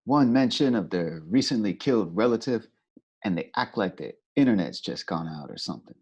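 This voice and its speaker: A man playing a slangy, deadpan narrator in a comic noir radio serial. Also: lowish voice, brisk, slightly rough, highs cut off. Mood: deadpan, droll. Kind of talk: parody noir scripted narration. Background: loud brass music.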